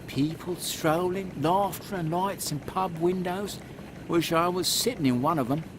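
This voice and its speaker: A man playing a genial, wry captain in an audio drama, expressive and savouring his words. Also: high voice, unhurried. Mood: wistful. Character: genial, wry captain in a queen's secret service. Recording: loud vehicle-like ambient noise.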